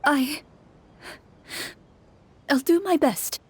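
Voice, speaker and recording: A woman's voice: sits high, drawn-out words, a little mellow, pitched above the performer's own voice. A woman voicing a worried guard's wife in a video game, plaintive, hesitant and fragile. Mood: tearful gratitude.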